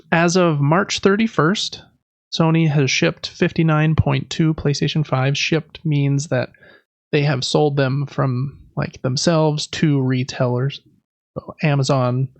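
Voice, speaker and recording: A man's voice: a little high, gravelly, no echo, lo-fi sound. A man hosting a podcast, casually recapping news in a conversational way with explanatory asides. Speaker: podcast host.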